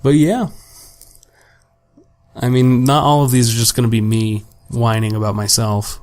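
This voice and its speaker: A young man, slightly raspy from a long day of talking, hosting a solo podcast at home and rambling casually and profanely about his week, with plenty of self-deprecation. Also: mid-range voice, fairly even intonation, measured pace, a little mellow. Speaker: podcast host. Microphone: home desk microphone without pop filter.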